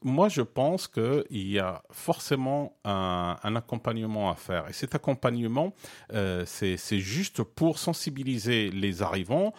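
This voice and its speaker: A middle-aged man from Morocco speaking in a fairly low voice. He explains things steadily in conversation, often restarting his sentences and pausing with hesitations before making his point. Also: expressive. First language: Arabic and French bilingual. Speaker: interviewee. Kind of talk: conversational interview.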